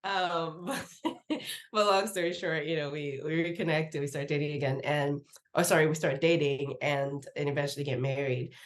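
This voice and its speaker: A woman from Nigeria, speaking in a low register, tells a personal story as a podcast guest. She talks in a loose, chatty way, laughs often and slips into acted-out quotes of what people said. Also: fairly even intonation, moderate pace. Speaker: podcast guest, research professor. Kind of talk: casual interview storytelling.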